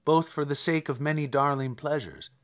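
A man reading narrative poetry aloud in a dramatic recitation, with deliberate phrasing and pauses. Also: a little high, fairly bright tone. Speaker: podcast host and poetry reader.

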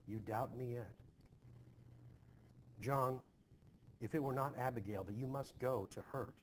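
A man playing a restrained, reproachful Puritan farmer's wife in an expressive play reading, with measured archaic phrasing. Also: medium pitch, natural voice. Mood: cool reproach. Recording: noisy home recording.

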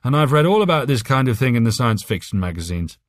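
A man's voice: mid-range voice, sing-song, quick pace, dry recording, pitched above the performer's own voice, lightly acted. A man voicing a drunk, cynical mathematician in an audiobook, bored, offhand and sceptical. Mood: protesting. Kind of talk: audiobook character voice.

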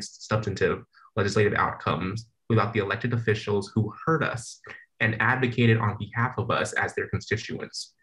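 A man's voice: lowish voice, a bit bright, poor audio. A young man from the San Francisco Bay Area giving an earnest, formal acceptance speech over a video call from a plain indoor room, with a few small restarts. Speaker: award recipient. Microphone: video call webcam.

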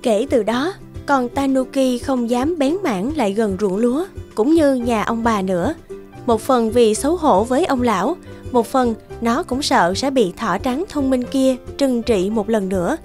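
Higher-pitched woman storyteller. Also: expressive, measured pace, darkish tone, soft background music. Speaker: narrator. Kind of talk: scripted children's story narration.

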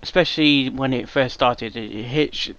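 A man's voice: medium pitch, moderate pace, a bit bright, dry recording. A man hosting a casual reaction video, talking in a rambling, hesitant way with restarts and jokes. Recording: dull lo-fi mic.

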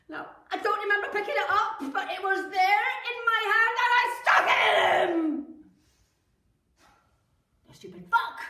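An actress performing a theatrical monologue as an embittered woman who stabbed her husband, speaking with a low, intense, brooding delivery. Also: a bit bright, recorded from a distance. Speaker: actor performing a stage monologue. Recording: echoey room, mic from afar.

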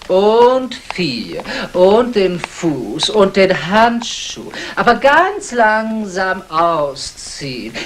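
An actress playing an exacting, world-weary dance coach, drawing out counts and barking instructions in a 1960s radio play. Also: female voice, fairly low pitch. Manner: drawn-out counting, barked instructions. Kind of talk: 1960s German radio crime drama acting. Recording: faintly noisy vintage radio recording.

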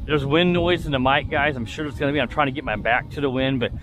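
A man's voice: medium pitch, fast, rough recording. A man giving casual, rambling commentary while flying a drone outdoors, with a furry windscreen on his mic. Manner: chatty, rambling, many fillers and restarts. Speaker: drone reviewer. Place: outdoors in a windy field. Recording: loud wind noise in mic.

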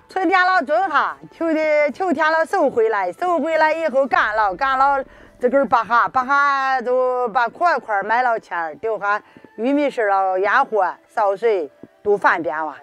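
A woman's voice: medium pitch, a bit bright. Cheerful chatty farm woman. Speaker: farmer. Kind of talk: casual testimony.

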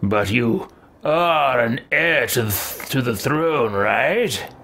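A man in his thirties plays and roleplays a video game as host. He speaks slowly with animated pitch and a slightly gravelly edge. There is faint game noise behind him. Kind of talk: game let's play, roleplaying dialogue choices. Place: small home room with shelves.

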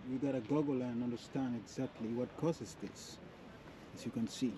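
A man with hosting a casual walking vlog, speaking in halting, repetitive phrases. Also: mid-range voice, fairly even intonation. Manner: repetitive, halting phrases with non-standard grammar. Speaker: vlogger and walking-tour host. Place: outdoors on a city street. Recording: outdoor street noise.

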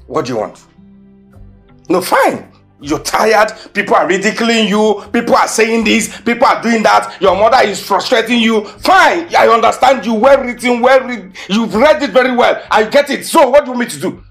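A man playing a gravelly boyfriend. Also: high voice, expressive, normal speed, soft background music, heavily acted. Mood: sarcastic, shouting. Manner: pleading then shouting in bursts. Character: struggling young man, defensive and hot-tempered. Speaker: actor. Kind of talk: Nigerian film drama acting.

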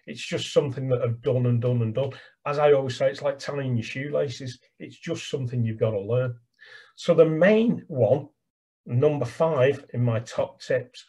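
Husky English man on a video call. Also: medium pitch, mellow. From England. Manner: enthusiastic, gesturing, repeats words. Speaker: birdwatching guide. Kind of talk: casual video call chat. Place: small room at home. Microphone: webcam on a video call. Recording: laptop video call audio.

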